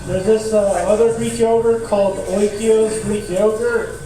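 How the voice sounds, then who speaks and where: A man's voice: high voice, steady tone, a little slow. A man in his twenties performing a laid-back, crude stand-up set in a comedy club, laughing at his own jokes. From Tucson, Arizona. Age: 20s. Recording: echoey club audio from far off, noisy.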